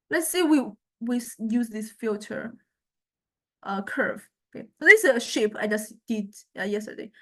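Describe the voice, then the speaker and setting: A woman giving an informal lecture and software demonstration, speaking haltingly with repeated word starts and frequent check-in questions. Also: a little high. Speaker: music technology lecturer.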